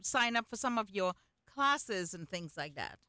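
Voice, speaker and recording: Female interview host, forceful bright tone, somewhat high, conversational, dry studio-clean sound. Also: big pitch swings, unhurried, no echo. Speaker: talk show host.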